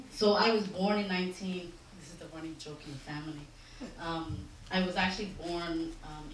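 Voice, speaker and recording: Laughing woman organizer, echoey hall. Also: low voice. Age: 30s. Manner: lively, laughing, self-deprecating asides. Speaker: community organizer. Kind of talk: informal talk to doctors. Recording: very echoey hall audio from far off, with noise.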